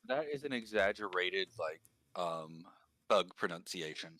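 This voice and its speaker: A man with a lowish, bright-edged voice talks casually and precisely. He picks his words with care, and his humor is dry and wry. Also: lively intonation, a little slow, no echo. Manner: articulate, wry, talks about pronunciation. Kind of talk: casual conversation.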